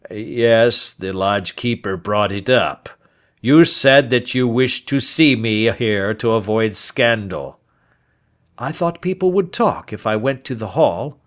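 A man voicing a dying, remorseful older man in an audiobook, speaking earnestly with a slow, heavy delivery. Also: fairly low pitch, lively intonation, pitched above the performer's own voice. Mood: pleading. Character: aging male rancher, dying and remorseful. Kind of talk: audiobook character voice.

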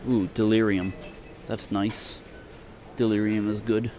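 Slow-talking man, offhand store vlogger. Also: fairly low pitch, flat delivery, darkish tone. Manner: offhand, hesitant, trailing off in fragments. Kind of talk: casual shopping tour commentary. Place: large indoor supermarket. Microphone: handheld camera from behind it. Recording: clear store ambience noise.

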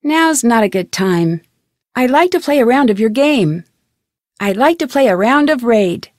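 A woman's voice: on the high side, lively intonation, unhurried, darkish tone, no room sound, clean studio sound. A young American woman voicing an inquisitive, polite and determined amateur detective in an adventure video game, with an earnest, questioning delivery. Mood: friendly, polite. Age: young adult. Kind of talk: scripted adventure game voice acting.

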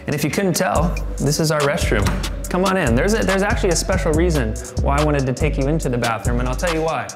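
Male tour host, thirties, fairly high voice, casual and upbeat delivery, music underneath. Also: fast. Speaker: studio owner giving a tour. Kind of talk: casual presenter-style tour talk. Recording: music playing behind the voice. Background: background music.

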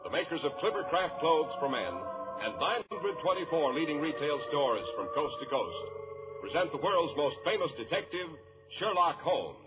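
A male American announcer delivers scripted copy in the polished manner of 1940s radio. His intonation swings widely and emphatically. The vintage broadcast is slightly dull, with music clearly heard. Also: on the high side, very animated, normal speed.

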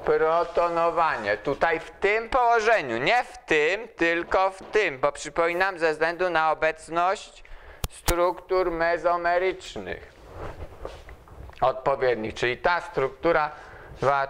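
Slow-talking older male lecturer. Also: fairly high pitch, fairly bright tone, a little hiss or hum. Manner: slow, asks students questions while writing on the board. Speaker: university lecturer. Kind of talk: chalkboard lecture. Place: large lecture hall in front of a chalkboard.

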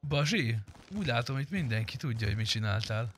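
A man's voice: medium pitch, animated, a little hiss or hum. A man in casual gaming chat, talking bluntly and sarcastically and swearing freely. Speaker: gamer streaming a roleplay game.

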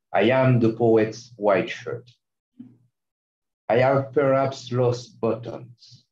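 A middle-aged man with a Haitian accent, whose first language is Haitian Creole, giving a slow and measured poetry reading over a video call. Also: on the low side. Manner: slow, measured, soft-spoken. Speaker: poet reading. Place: large room with seated audience. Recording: muffled echoey room audio.

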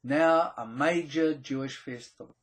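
A man presents a scripted explainer to camera, with deliberate, emphatic phrasing, dramatic pauses and a slightly husky voice. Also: fairly high pitch. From New Zealand. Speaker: presenter of a theology video channel.

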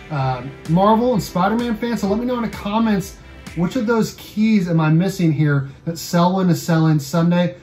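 A man's voice: sits high, darkish tone. An American man in his thirties hosting a casual video, running through items for sale in a room at home. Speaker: YouTube channel host. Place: small room at home, indoors.

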